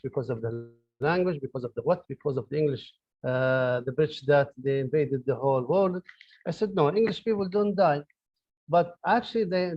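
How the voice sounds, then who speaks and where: A middle-aged man with an Arabic accent giving a university lecture, hesitant, with many fillers and repeated words. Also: fairly high pitch. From Libya. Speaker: university professor. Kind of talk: academic lecture. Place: online lecture. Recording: dull lo-fi audio.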